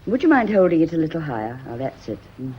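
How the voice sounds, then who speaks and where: A woman's voice: low voice, very animated, fast talker, slightly rough, full character voice. An actress playing a genteel, uneasy lady's companion with a British accent, clipped and commanding, in a period radio mystery. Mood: composed, proud. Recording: dull, muffled old radio recording.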